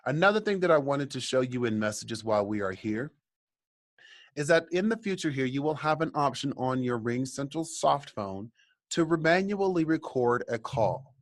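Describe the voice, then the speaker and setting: A man presenting a software tutorial, explaining each step and speaking directly to the viewers in an instructional way. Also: mid-range voice, expressive, moderate pace, no room sound, studio quality. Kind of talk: software tutorial walkthrough, semi-scripted explanation.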